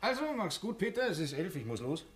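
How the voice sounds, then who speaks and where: An actor playing a good-natured village schoolteacher and loyal friend in a German radio drama, chummy and easygoing. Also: male voice, fairly high pitch, big pitch swings, quick pace, faint music behind. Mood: cheerful, hurried. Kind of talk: 1960s German radio play acting.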